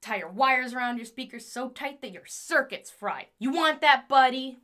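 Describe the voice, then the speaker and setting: A woman playing an excitable, scheming inventor in an audio drama, theatrically talking to herself as her moods swing. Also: high-pitched, normal speed. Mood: mock menacing.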